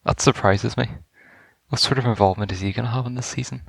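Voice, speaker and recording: A man's voice: medium pitch, fairly even intonation, fast talker, vocal fry, no echo. A man giving casual live reaction commentary as a gaming video creator.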